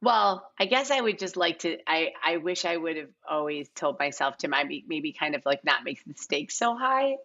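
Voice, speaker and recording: A middle-aged woman chatting casually as an interview guest, animated and self-deprecating. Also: deep voice, normal speed, few pauses, highs cut off. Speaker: casting director, podcast guest. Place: home living room, video call.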